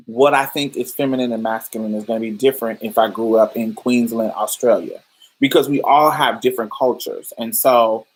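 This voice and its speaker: A woman's voice: rumbling low, low-quality recording. A woman hosting a podcast, explaining ideas in a loose, thoughtful way, with many fillers and restarts while talking to listeners. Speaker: podcast host. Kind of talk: casual livestream podcast talk.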